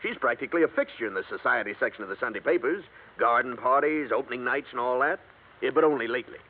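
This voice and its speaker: Chatty wisecracking agent, swoopy lively voice, thin old radio sound. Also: male voice, mid-range voice, quick pace. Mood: wry. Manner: wry, chatty storytelling. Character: male insurance agent, wry gossip. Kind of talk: 1950s radio drama acting. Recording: tinny lo-fi 1950s radio broadcast.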